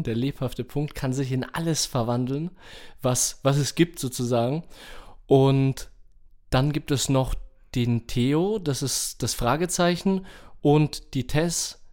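A man with an average-pitched voice talks casually on a podcast. He reminisces in colloquial language, working out his thoughts aloud as he goes. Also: slow, a little mellow. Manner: informal, colloquial, thinking aloud. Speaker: podcast host. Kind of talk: casual chat, personal reminiscing.

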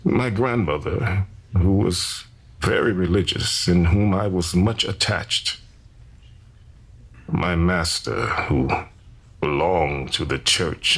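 Man playing a slow, gravelly condemned rebel preacher. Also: deep voice, a bit bright, full character voice, poor audio. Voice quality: gravelly, husky. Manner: measured, grave, formal. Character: enslaved rebel preacher, 31, solemn, convinced of divine calling. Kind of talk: dramatized first-person historical testimony.

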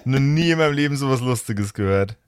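A man's voice: medium pitch, lively intonation, fast, heavy background noise. A man talking casually as the game master of a tabletop role-playing group.

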